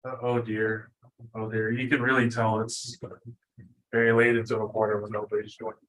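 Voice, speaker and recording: A man's voice: on the low side, flat delivery, normal speed, a bit bright, low-quality recording. Young adult male student presenter, informal and self-deprecating, tired from lack of sleep, rambling through a lecture. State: sleep-deprived.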